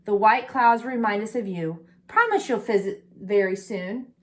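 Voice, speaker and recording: A woman's voice: mid-range voice, normal speed, a bit bright, close to an everyday voice. A woman voicing a dreamy, eager little female blue owl in a storybook, sweet in manner. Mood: fond.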